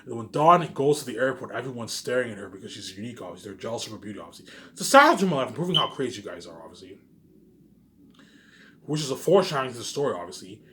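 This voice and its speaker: A man's voice: mid-range voice, very animated, rapid-fire, bright, forceful tone. A man talking casually to his viewers in a rambling monologue, boastful and self-assured, repeating himself and tacking trailing asides onto his phrases. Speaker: vlogger.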